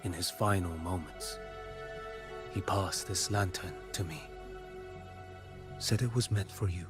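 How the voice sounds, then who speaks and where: Video game role: stern, solemn blind envoy, lowish register, unhurried phrasing, over clear background music. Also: male voice. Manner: solemn, measured, deliberate delivery. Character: grave blind male envoy tracking the dark tide.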